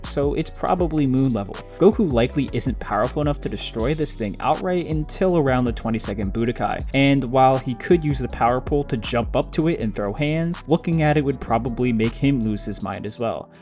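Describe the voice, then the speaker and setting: A man's voice: medium pitch, a little mellow. Man narrating a video essay over music. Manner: conversational, explanatory. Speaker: YouTube host. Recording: music behind the voice. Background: background music.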